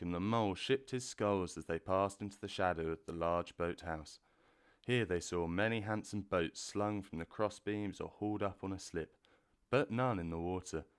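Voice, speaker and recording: A man narrating a storybook in a measured, gentle way. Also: low voice, smooth.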